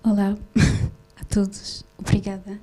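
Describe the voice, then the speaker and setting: A woman who grew up in Switzerland talks about her film at a festival session. She speaks slowly and haltingly, with many fillers and restarts. Her voice is mellow and fairly flat. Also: mid-range voice. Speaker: film director. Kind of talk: festival Q&A talk.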